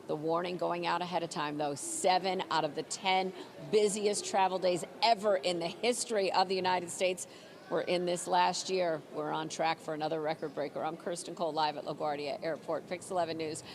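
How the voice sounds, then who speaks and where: A woman's voice: fairly low pitch, gravelly. A woman working as a TV news reporter, delivering a live report in a conversational, emphatic way.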